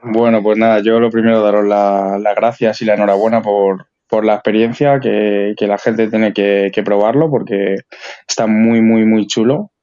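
Halting Spanish man, slightly dull sound. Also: lowish voice, fairly even intonation, dark tone, clean tone. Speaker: guest author. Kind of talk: casual chat.

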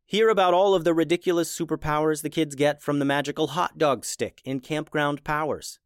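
A man's voice: on the high side, fairly even intonation, fairly quick, clean tone, no room sound, clean studio sound. A man reading a scripted promo for a children's podcast. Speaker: promo announcer.

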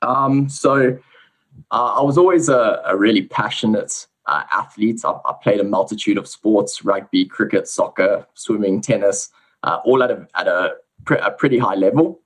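A man with a South African accent, a guest researcher giving a relaxed, explanatory account of his background on a podcast. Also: lowish voice, moderate pace. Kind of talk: casual podcast interview.

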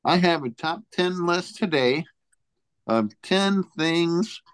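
A man co-hosting a casual talk show, reading out a list in a halting way and searching for his place. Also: a little high, lively intonation, clear, resonant tone, no room sound. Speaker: podcast co-host presenting a top ten list.

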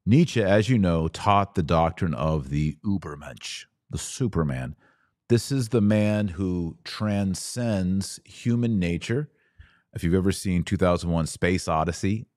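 A man with a low voice gives a religious lecture as a podcast host. He speaks at a very unhurried pace, emphatic and didactic, leaving dramatic pauses between short phrases. The sound is very dry, with no room on it. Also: darkish tone, no room sound. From Texas.

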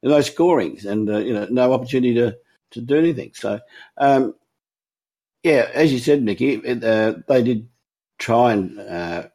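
A man's voice: mid-range voice, lively intonation, moderate pace, dark tone. A man with an Australian accent co-hosting a casual sports chat show, talking emphatically with many restarts. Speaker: co-host.

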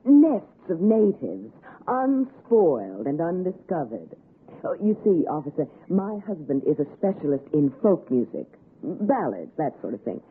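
An actress playing an arch, teasing, flirtatious city wife in a 1960s radio suspense drama. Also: female voice, low voice, big pitch swings, a little slow, breathy edge, heavily acted. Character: mocking, flirtatious city wife of a folk-music scholar. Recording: tinny, noisy old radio broadcast.

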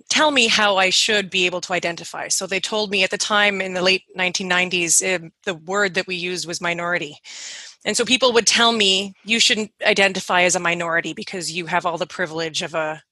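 A woman's voice: mid-range voice, sharp tone, dry recording, low-quality recording. A woman guest, an environmental law partner, talking earnestly and conversationally in an interview. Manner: earnest, conversational, some restarts. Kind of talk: candid interview answers.

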